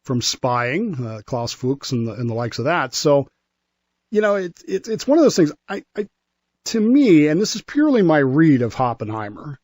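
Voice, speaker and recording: An American man talking through history as a radio commentator, explaining in a measured way and often repeating himself haltingly. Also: fairly high pitch, very animated, darkish tone, no echo. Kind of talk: conversational radio discussion.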